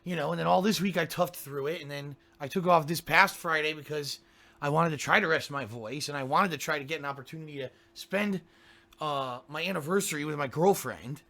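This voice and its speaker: Hoarse, gravelly man, sick with a cold. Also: on the high side, brisk, bright, forceful tone. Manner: grumbling, ranting, keeps clearing his throat. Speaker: game streamer. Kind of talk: casual pre-stream chat to viewers.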